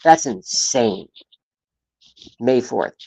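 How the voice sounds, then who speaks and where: A man in his late thirties hosting a livestream talk show, rambling and profane, with his loudness swinging up and down. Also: mid-range voice, lively intonation, very slow, gravelly, slightly rough, rough recording. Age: 30s.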